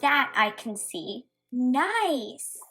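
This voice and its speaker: A woman's voice: high voice, big pitch swings, drawn-out words, sharp tone, breathy edge, faint music behind, exaggerated voice. A woman playing a fearless, sassy young female kitten in a radio play, blunt and flippant. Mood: unimpressed.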